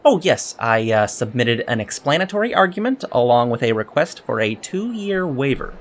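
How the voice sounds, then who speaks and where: A man's voice: mid-range voice, very animated, moderate pace. A man playing a polite, precocious teenage alien cadet in a sci-fi audio drama, earnest and slightly hesitant. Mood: earnest, explaining. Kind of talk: scripted audio drama dialogue.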